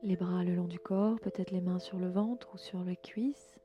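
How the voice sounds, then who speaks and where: A woman gently guides a relaxation session in a soothing, inviting way, letting her phrases end softly. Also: fairly low pitch, fairly even intonation, moderate pace, lo-fi sound. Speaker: host and yoga nidra guide. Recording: music bed behind the voice. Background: relaxation music.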